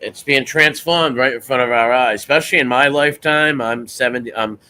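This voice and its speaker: A man's voice: medium pitch, fairly quick, bright, forceful tone. A man in his forties hosting a casual political chat, blunt and rhetorical, often tagging "right?" onto his points. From United States. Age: 40s.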